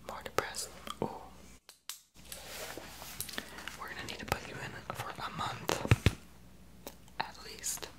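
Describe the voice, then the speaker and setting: A man's voice: moderate pace, natural voice, poor audio. A man whispering as a sassy, rude young therapist. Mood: condescending. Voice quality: soft unvoiced whisper. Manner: blunt, sarcastic, gossipy sass. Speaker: ASMR creator. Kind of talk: whispered ASMR roleplay. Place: small home office, indoors.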